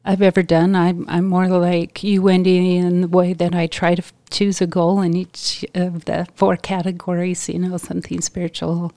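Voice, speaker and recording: A woman speaking in a measured, thoughtful way in a casual chat. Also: fairly low pitch, flat delivery, warm tone, no echo.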